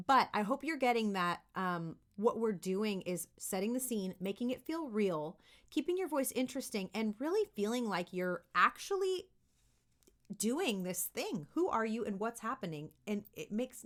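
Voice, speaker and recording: A woman's voice: medium pitch, big pitch swings, moderate pace. A woman giving an informal tutorial as a voice acting coach, talking directly and expressively to kids and parents in an encouraging way. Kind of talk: informal tutorial talk to camera.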